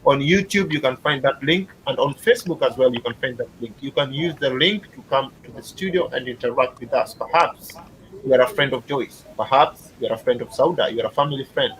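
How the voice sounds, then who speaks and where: A man's voice: mid-range voice, a little fast, a bit bright, rough recording. A man hosting a live interview show, asking guests questions and encouraging viewers in a chatty way. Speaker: host.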